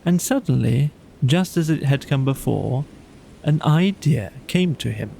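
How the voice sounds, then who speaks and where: A man's voice: medium pitch, lively intonation, unhurried, vocal fry, dark tone, slight background noise, poor audio. A man narrating a children's bedtime story as an audiobook reader.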